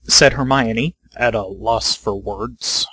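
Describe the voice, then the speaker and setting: A man's voice: on the low side, takes it slowly, sharp tone, husky, no echo, low-quality recording. A man reading scripted first-person story narration as the narrator.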